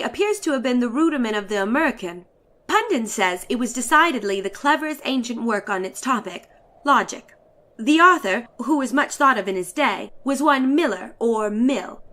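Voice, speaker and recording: High-voiced woman giving a dramatic literary reading. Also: sharp tone. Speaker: reader of a short story.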